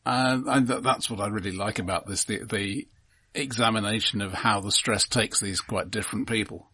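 Guy on a movie podcast, low rough-edged voice, thoughtful halting chat. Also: steady tone, sharp tone. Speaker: film podcast co-host. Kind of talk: casual film discussion.

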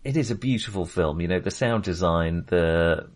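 A man with a fairly low voice talks casually about film as a podcast co-host. He rambles, hunting for the right word and cutting across his own sentences. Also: moderate pace. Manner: rambling, searching for words, self-interrupting.

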